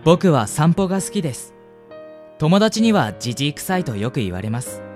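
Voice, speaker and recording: A man's voice: on the high side, very animated, moderate pace, darkish tone, faint music behind. A man acting a polite, shy and smitten young college student in a radio drama, speaking and narrating earnestly. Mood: polite, eager.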